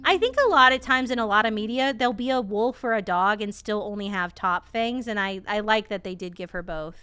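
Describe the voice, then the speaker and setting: A woman streamer reacting to a game in rambling, animated, sweary casual chat. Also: mid-range voice, a little fast.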